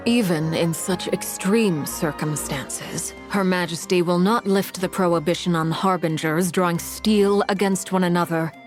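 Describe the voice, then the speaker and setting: A woman voicing a stern, formal commander with a low, controlled voice and grave, measured delivery. Game music plays clearly beneath her. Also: lightly acted. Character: cold, resolute noblewoman commander. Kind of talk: video game dialogue.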